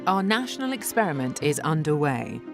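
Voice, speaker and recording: A woman presenting a documentary in clear, measured scripted narration. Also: deep voice, very animated. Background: background music.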